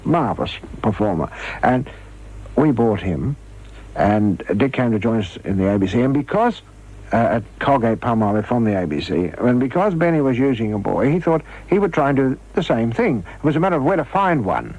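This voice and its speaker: An older Australian man telling anecdotes in a radio interview, halting as he recalls them. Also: medium pitch, big pitch swings, darkish tone. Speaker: former radio comedy performer, interviewee. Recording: slightly dull archive radio interview.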